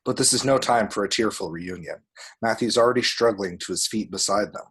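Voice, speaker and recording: Male narrator, husky, fairly deep, scripted action descriptions, dramatic delivery. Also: fairly quick, fairly bright tone. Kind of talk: scripted screenplay narration with spoken sound effects.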